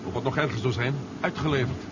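A man acting a brisk, decisive adventurer in a radio drama. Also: mid-range voice, natural voice. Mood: weighing options. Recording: muffled, noisy old radio recording.

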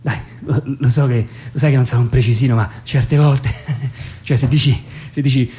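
A man's voice: medium pitch, steady tone, moderate pace, mellow, close to an everyday voice. An actor playing an insecure, pedantic young man in a theatrical phone dialogue, hesitant and prone to repeating himself. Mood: pedantic, flustered. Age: young adult. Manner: hesitant, repetitive, nitpicking. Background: acoustic guitar accompaniment.

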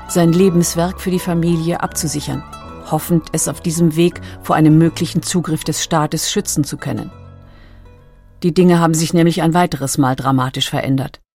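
A woman reading scripted documentary narration in a measured, factual manner. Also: deep voice, a little mellow, no room sound, clean studio sound.

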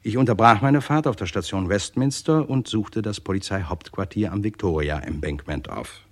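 A man's voice: fairly low pitch, normal speed. An actor playing a polite, wry, talkative crime writer who narrates his own case in a vintage German radio play. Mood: wry narrating. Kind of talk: 1950s-80s German radio crime drama acting.

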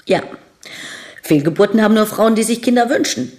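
An actress playing a brash, world-weary young bride-to-be who is coquettish, blunt and provocative, in a radio crime drama. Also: female voice, medium pitch, lively intonation, fairly quick, a little mellow, close to an everyday voice. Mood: bitter, weary. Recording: studio radio drama with music underneath.

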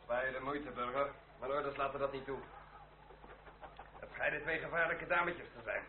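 A male actor plays an unbudging, plain-spoken guard sergeant with an official manner. His pitch is average. The old radio drama recording is roomy and tinny, with some faint noise. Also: fairly even intonation, moderate pace, close to an everyday voice. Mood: dutiful, unbudging. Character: dutiful, stubborn sergeant of the National Guard. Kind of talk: period radio drama acting.

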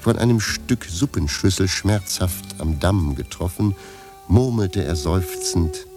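A man reading scripted narration for a radio play in a measured, literary manner. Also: deep voice, animated. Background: faint music.

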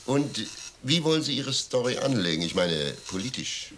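A man's voice: mid-range voice, very animated, normal speed, a bit bright, slight background noise. An actor playing an embittered, sardonic male embassy information officer in a radio play. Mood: indignant. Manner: sardonic, indignant.

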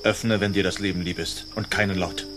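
Actor as a deep-voiced, commanding masked hero. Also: male voice, steady tone, a little fast, over loud music, natural voice. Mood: commanding, threatening. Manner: commanding, courtly, formal. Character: bold, gallant masked outlaw hero of old California. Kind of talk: German radio play acting.

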